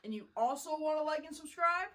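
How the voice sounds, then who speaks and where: Higher-voiced woman reading a children's story. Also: expressive, brisk, a bit bright, far from the mic. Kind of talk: expressive children's storybook narration.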